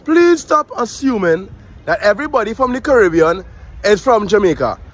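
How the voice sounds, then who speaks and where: A man's voice: sits high, sing-song, normal speed. A Trinidadian man with a Trinidadian accent, annoyed and pleading.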